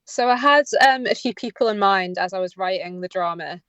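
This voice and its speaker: A woman writer answering interview questions conversationally, in a somewhat halting way. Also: medium pitch, a bit bright, clear, resonant tone, no echo. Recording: slightly dull, dry sound.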